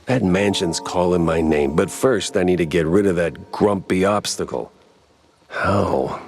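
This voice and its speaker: Low-voiced wry cartoon detective. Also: male voice, unhurried, soft background music. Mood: sardonic. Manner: wisecracking, deadpan. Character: wry hard-boiled cartoon mouse detective man. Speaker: private investigator protagonist. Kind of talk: 1930s noir cartoon game acting.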